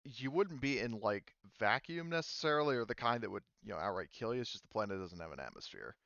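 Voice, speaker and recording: A man narrating a tabletop roleplaying game as game master, explaining things in a halting way. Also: lowish voice, expressive, vocal fry, fairly bright tone, no room sound, highs cut off.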